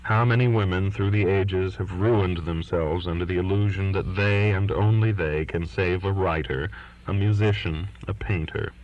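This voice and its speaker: A pained father in his forties voiced by a man reading an audiobook muses to himself with sardonic, rueful wryness. The voice sits low, and the sound is dull and lo-fi. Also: steady tone, moderate pace, lightly acted. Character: wry, pained forty-eight-year-old father, thinking to himself. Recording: dull lo-fi recording.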